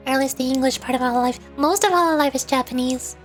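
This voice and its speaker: High-pitched woman chatting on a livestream over music. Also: quick pace. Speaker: VTuber streamer. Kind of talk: casual livestream chat with viewers. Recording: background music.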